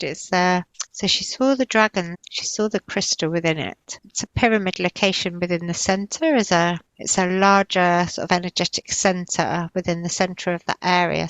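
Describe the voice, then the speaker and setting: A woman giving an unscripted, trance-like spiritual channeling, describing visions in halting, repetitive, searching phrases. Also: on the low side, creaky, no room sound, low-quality recording. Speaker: spiritual healer channeling in a session.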